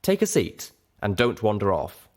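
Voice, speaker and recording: Male guard pony, voiced by a storybook narrator, deep, businesslike, commanding, short clipped delivery. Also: very animated, normal speed. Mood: curt, official. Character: curt official male guard pony escorting a suspect.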